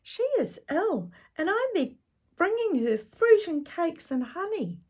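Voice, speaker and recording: A woman voicing a small, eager, polite young girl in a storybook read-aloud, with a high, breathy girlish voice. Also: sing-song, slow pace, darkish tone, exaggerated voice. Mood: earnest.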